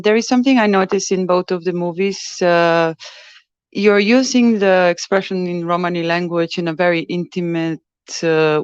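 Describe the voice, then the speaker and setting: A woman's voice: lowish voice, clear, resonant tone, no room sound, dull audio. Tentative woman moderating a Q&A. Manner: tentative, searching. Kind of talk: moderating a festival Q&A.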